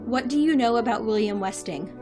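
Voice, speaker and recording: A woman's voice: on the high side, fairly quick, close to an everyday voice. A woman playing a sardonic, commanding interrogator in an audio drama, curt and sarcastic. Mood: stern, commanding. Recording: faint music behind.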